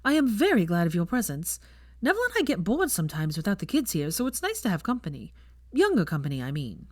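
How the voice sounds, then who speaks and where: A woman's voice: mid-range voice, huge pitch swings, fast talker, a little mellow, no room sound, studio quality. A woman voicing a blunt, cheerful young witch teacher in a story reading, breezy and prone to casual swearing.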